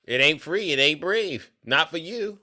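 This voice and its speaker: A man's voice: on the high side, animated, unhurried, sharp tone. A man hosting a political livestream, chatting casually, backing up his guest and talking to the chat. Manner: casual, affirming, talks straight to the chat. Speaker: livestream host.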